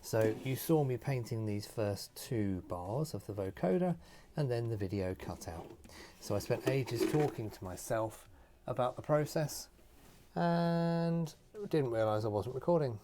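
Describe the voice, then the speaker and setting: A man's voice: mid-range voice, lively intonation, a little slow, mellow. A man giving a casual, unscripted how-to commentary, explaining and thinking aloud as he works. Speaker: host of a hobby craft tutorial. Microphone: phone recording.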